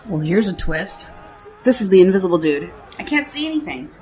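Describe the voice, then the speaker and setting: A woman's voice: lowish voice, big pitch swings, brisk. A woman playing an informal, joking young male fighter in an audio drama. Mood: startled. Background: loud music.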